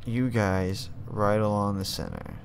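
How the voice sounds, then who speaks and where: Male gamer, low register, very unhurried casual commentary, noticeable noise and game ambience behind him. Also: fairly even intonation. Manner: talks to units in short commands. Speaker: gaming video host. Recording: clear background noise under voice.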